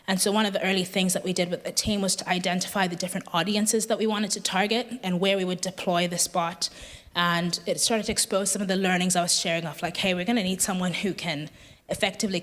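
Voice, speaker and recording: Woman doing a conference talk, kinda low voice, explains stuff conversationally. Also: a bit bright. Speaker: presenter from a software company's customer support side. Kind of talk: business conference presentation.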